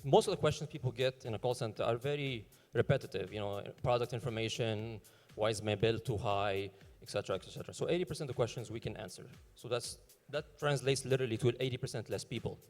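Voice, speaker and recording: Fast-talking startup founder in a reverberant hall. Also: male voice, mid-range voice, darkish tone. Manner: fast, hesitant, many fillers and restarts. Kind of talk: pitch Q&A on stage.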